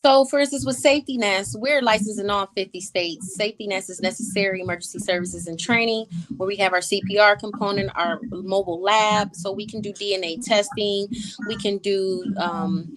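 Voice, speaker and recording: A woman of medium pitch answers an interviewer's questions about her ventures. She speaks with confidence and drive, in the language of corporate goals and growth. It sounds like an ordinary conversational interview. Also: steady tone, brisk, a bit bright, rough recording. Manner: confident, goal-driven business talk. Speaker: guest entrepreneur.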